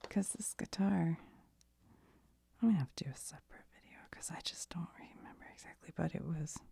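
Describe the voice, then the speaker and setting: Breathy, whispering woman with soft creaky rasp. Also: fairly low pitch, fairly quick. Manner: tentative, conversational, often trailing off. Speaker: ASMR video host. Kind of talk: soft-spoken unscripted ASMR ramble.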